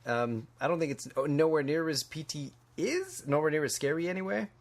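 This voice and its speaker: A man's voice: fairly high pitch, animated, a little fast. A young man co-hosting a podcast, talking casually in an informal discussion. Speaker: podcast co-host. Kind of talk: casual chat.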